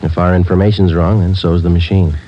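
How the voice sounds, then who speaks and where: A man's voice: low-pitched, flat delivery, brisk, natural voice. An actor playing a terse male police detective sergeant who narrates in a clipped, matter-of-fact way, in a 1950s radio drama. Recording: dull old radio transcription with faint hiss.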